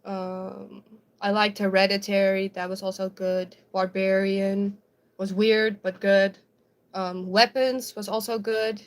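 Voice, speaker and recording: A young woman from South Africa, raised speaking Afrikaans, who talks with an American-sounding accent. She chats loosely with her stream audience, giggling often and filling gaps with ums and likes. Her voice sits at a middling pitch for a woman. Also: level tone, takes it slowly. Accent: American-sounding English. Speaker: livestreamer. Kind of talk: casual chat reading viewer comments.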